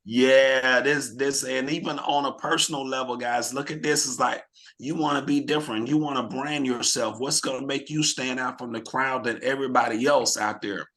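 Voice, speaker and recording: A slightly hoarse man hosting a team video call, rallying the group with questions in an energetic, motivational way. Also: mid-range voice, moderate pace. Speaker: team leader hosting a real estate mastermind call.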